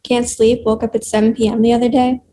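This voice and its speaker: A woman's voice: a little high, little pitch movement, fast talker, dark tone, close to an everyday voice. A woman reading the part of a sarcastic, irritable young woman at a table read. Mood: sardonic. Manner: sarcastic, snappy.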